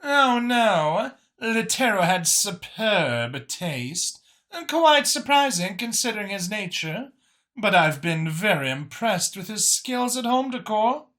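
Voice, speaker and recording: A man voicing a self-assured, cerebral and gracious female alien leader in an audiobook, articulate and measured. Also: high-pitched, sing-song, bright, forceful tone, voice pushed up, full character voice. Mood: amused, gracious. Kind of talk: audiobook character acting.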